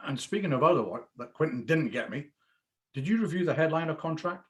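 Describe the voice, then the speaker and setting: A man's voice: a little high, animated, a little fast, lightly acted. A man acting a wry, easygoing struggling comic in a screenplay table read, on a dull microphone. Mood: wry, casual.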